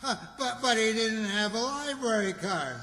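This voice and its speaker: A man's voice: sits high, expressive, normal speed, sharp tone, a little hiss or hum, heavily acted. A man playing a cheerfully oblivious, rambling small-town Southern character in old-time radio comedy, chuckly and folksy. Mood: cheerful, chuckling. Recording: echoey hall, long reverb.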